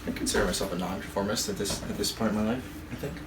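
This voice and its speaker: Quick low young man, far and echoey. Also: steady tone, slight background noise. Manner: quick, halting, casual answers.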